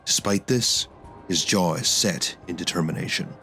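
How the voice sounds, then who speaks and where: Low deep guy doing dramatic story narration for audio drama, music in the background. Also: normal speed, bright, forceful tone.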